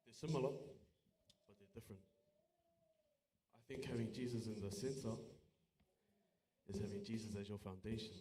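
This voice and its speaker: A man's voice: on the low side, normal speed, pauses often, mellow. Man giving testimony in an echoey room. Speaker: church youth testimony speaker. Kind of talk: informal testimony to a congregation. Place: church hall, speaking to congregation. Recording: roomy church echo, faint background noise.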